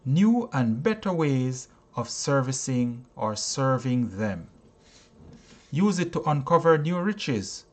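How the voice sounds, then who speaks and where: A man reading a self-help audiobook in a deliberate manner, breaking sentences into short phrases with frequent pauses. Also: medium pitch. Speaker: audiobook reader, teacher.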